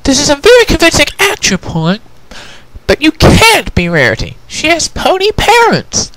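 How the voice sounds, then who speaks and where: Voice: high voice, wildly swooping pitch, moderate pace, a bit bright, no room sound, voice pushed up, heavily acted, low-quality recording. A performer voicing an angry, distrustful young female pony in a cartoon fan story, with an indignant, emphatic way of talking. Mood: exasperated, distrustful. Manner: indignant, emphatic, stammering when upset.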